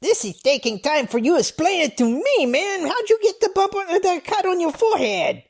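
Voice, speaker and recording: Lady doing a nosy skeptical pal in a comedy skit, swingy pitch, bit of fry, impatient. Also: big pitch swings, normal speed, heavily acted. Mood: exasperated. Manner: prodding, incredulous.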